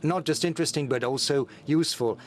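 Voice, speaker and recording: A man giving simultaneous interpretation at a press meeting, steady and formal, with frequent filler sounds. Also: a little high, quick pace, a little hiss or hum.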